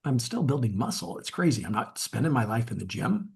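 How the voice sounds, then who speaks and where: A man's voice: mid-range voice, big pitch swings, fairly quick, gravelly, darkish tone. A man coaching in a webinar, upbeat, asking viewers to rate themselves. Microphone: webinar mic.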